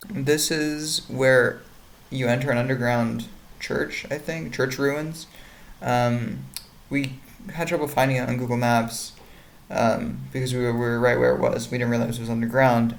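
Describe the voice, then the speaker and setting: A young man talks through his day in a loose, rambling vlog, pausing often to search for words. His mid-pitched voice carries a gravelly edge. He sounds easygoing and unhurried. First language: English. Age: young adult. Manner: relaxed, rambling, many ums. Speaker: vlogger, exchange student. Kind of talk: casual vlog narration.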